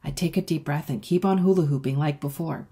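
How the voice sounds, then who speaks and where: Female children's author for read-aloud: low, lightly husky voice, expressive, talks directly to kids. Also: brisk. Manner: expressive, addressing young listeners. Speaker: author reading their own book.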